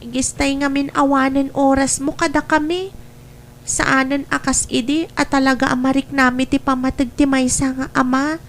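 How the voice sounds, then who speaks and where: A woman acting a high-voiced, wistful young daughter. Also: flat delivery, normal speed, a little hiss or hum. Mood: wistful, hurt. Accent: northern Philippine. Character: wistful, dutiful young daughter longing for her father. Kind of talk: Philippine audio drama acting.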